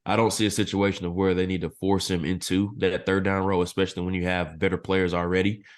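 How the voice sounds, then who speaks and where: A man analyzing football draft picks as a podcast guest, his voice a little hoarse and clearing his throat now and then. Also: deep voice, fast talker. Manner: steady analytical explaining. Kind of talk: casual podcast interview.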